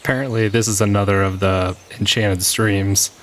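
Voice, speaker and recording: A man's voice: lowish voice, steady tone, normal speed, a bit bright, lightly acted. A man playing a blunt, wisecracking rogue with a casual, offhand manner in a fantasy audio drama. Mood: casual, curious.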